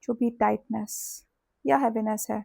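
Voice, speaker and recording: A woman with an Indian accent leads a guided sleep meditation in a soothing, deliberate way, leaving long pauses between phrases. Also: a little high, steady tone, gravelly, mellow, no room sound. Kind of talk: guided sleep hypnosis with body scan.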